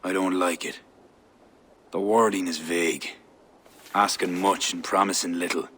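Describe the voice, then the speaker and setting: A proud, distrustful high king. His voice sits low and he weighs every word sternly. A faint noise floor runs under the game cutscene. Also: fairly even intonation, a little slow, fairly bright tone. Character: proud wary Irish high king, middle-aged. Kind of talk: video game cutscene acting.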